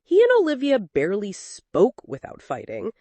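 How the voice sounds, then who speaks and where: A woman's voice: medium pitch, wildly swooping pitch, normal speed, warm tone, dry recording. A woman narrating a scripted dramatic story as a storyteller.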